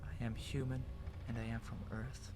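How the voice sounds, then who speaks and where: Guy playing a confused frustrated lost man in an audio drama, dramatic, middle pitch, faint music. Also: little pitch movement, normal speed, warm tone, close to an everyday voice. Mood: whispering, uneasy. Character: confused, frustrated amnesiac human man lost in strange world.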